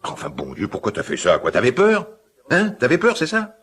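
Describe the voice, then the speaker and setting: An actor playing a gruff, quick-tempered but caring middle-aged father in a radio drama, with halting, repetitive, colloquial speech. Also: huge pitch swings, fairly bright tone, full character voice. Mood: anxious, pressing. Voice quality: deep, gravelly, husky. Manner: halting, repeats himself, blustering colloquial speech. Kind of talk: naturalistic French radio drama acting.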